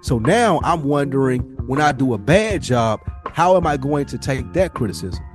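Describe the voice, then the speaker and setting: A man's voice: medium pitch, animated, moderate pace, music in the background. A man hosting a podcast, talking casually and reflectively in an earnest, slangy, rambling way. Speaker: podcast host.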